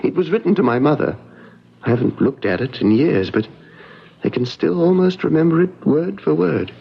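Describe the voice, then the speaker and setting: An actor playing a troubled, haunted young banker's son in a radio drama. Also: male voice, fairly high pitch, animated, normal speed, vocal fry, slightly rough, heavily acted. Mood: uneasy, confiding. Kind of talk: 1970s radio drama acting. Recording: dull lo-fi old radio broadcast.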